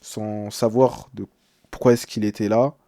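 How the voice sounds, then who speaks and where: A man's voice: lowish voice, flat delivery, measured pace, gravelly, warm tone, no room sound, clean studio sound. A young man speaking as a streamer in casual live reaction, translating text aloud with slangy, hesitant, uneasy commentary.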